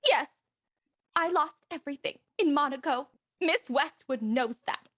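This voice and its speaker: An actress playing a bold, flirtatious house guest in a period mystery radio play, with a teasing, theatrical manner. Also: female voice, high-pitched, a little slow, fairly bright tone. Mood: indignant.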